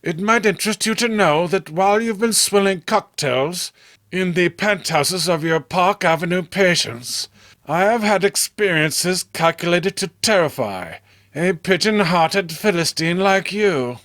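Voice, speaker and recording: A man's voice: high voice, a little slow, a bit bright, dry recording, clean studio sound. A man voicing an obsessed, proud recluse in a horror comic read-along, intense and melodramatic. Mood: scornful.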